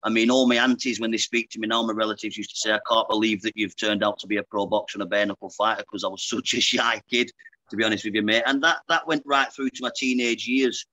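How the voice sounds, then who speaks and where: A Lancashire man in his thirties tells his story to a podcast host over a video call, chatty and frank, dropping "mate" at the end of his sentences. His voice is bright and forward. The sound is close and dry, with no room around it. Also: lowish voice, fairly even intonation, fast, dry recording, low-quality recording. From Lancashire, England. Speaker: guest, pro boxer. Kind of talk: casual interview chat. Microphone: earbuds on a video call.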